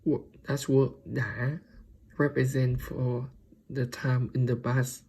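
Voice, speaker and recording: Slow, flat Vietnamese-accented man presenting. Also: medium pitch, slightly rough. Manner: halting, hesitant delivery in non-native English. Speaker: student presenter. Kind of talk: informal student presentation.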